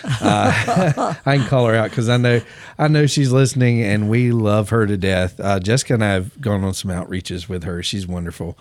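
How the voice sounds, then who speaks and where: A man's voice: fairly low pitch, moderate pace, no echo. Man, radio host, casual rambling talk, studio mic, dry sound. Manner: friendly, rambling with many fillers. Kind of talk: casual on-air fundraiser chat.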